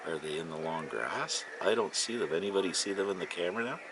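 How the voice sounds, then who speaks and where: A man giving casual, rambling, unhurried vlog commentary, talking to himself and his viewers as an amateur hobbyist. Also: low-pitched, lively intonation, background noise. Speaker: amateur vlogger and ham radio hobbyist.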